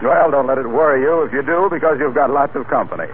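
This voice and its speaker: A man announcing in 1940s radio style, delivering introductions and sponsor pitches with polished, folksy salesmanship. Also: quick pace. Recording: narrow-band, noisy vintage radio recording.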